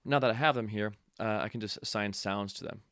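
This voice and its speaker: A man giving a casual software tutorial, informal and offhand, thinking aloud as an instructor demonstrating step by step. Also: on the low side, a little fast, smooth, dry recording, clean studio sound.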